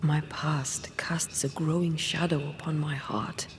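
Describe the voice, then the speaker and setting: Hushed, slow, grave ghost woman. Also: low voice, little pitch movement, a little hiss or hum. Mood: sombre, haunted. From Cuba. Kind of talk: dramatic game cutscene acting.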